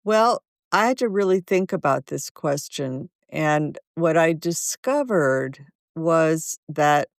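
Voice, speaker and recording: A woman's voice: low voice, lively intonation, vocal fry, no echo, clean studio sound. A woman in her seventies, a guest author, telling thoughtful stories in an interview. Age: 70s. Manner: slow, thoughtful, warm anecdotes. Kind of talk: reflective interview storytelling.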